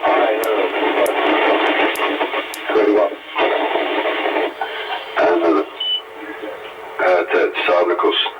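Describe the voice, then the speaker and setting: A man chats casually over CB radio at an unhurried, slow pace. The sound is dull and lo-fi, as received through a radio speaker, with faint music behind. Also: low voice, steady tone. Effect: two-way CB radio transmission. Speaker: CB radio operator.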